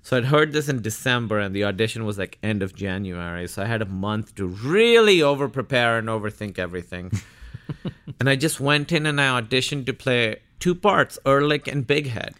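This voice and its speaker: A man's voice: mid-range voice, animated, a little fast, fairly bright tone. A man in his thirties from Pakistan, an actor telling stories as a guest in a casual interview, full of fillers and acted-out quotes.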